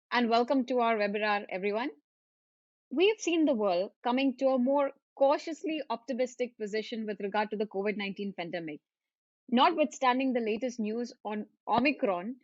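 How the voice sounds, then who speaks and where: A woman hosts a webinar, her voice sitting fairly high as she reads formal, scripted remarks with the odd filler. The sound is dry and a little dull, with no room around it. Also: no room sound. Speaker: webinar host, value manager. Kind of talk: webinar moderation.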